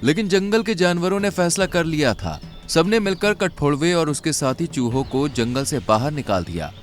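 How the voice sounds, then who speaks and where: A man's voice: on the high side, very animated, normal speed, soft background music. A man narrating a scripted children's story as its storyteller.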